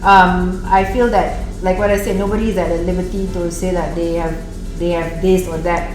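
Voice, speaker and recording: A woman's voice: on the low side, level tone, moderate pace, roomy sound, music in the background, rough recording. A woman in her forties, a podcast guest, talking in an earnest, casual way with lots of fillers and restarts. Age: 40s. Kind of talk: casual interview chat.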